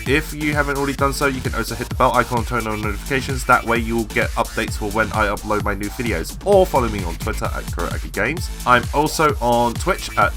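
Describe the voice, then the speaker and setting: A man's voice: medium pitch, fast, hardly any pauses. A man giving casual, offhand gameplay commentary as a video host, with game music behind him. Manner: offhand reactions, muttering to himself. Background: video game music and sounds.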